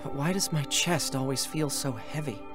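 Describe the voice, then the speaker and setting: An actor playing a lonely young male android in a dramatic reading, gentle, reflective and questioning. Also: medium pitch, moderate pace, natural voice. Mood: wistful, lonely. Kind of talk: dramatic stage reading of a game story. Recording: music behind the voice.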